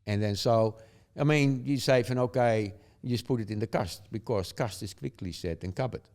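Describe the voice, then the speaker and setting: A man's voice: mid-range voice, normal speed, a little mellow. An older man from the Netherlands with a Dutch accent, telling stories in casual chat, chuckling often and rambling with tag questions. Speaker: guest.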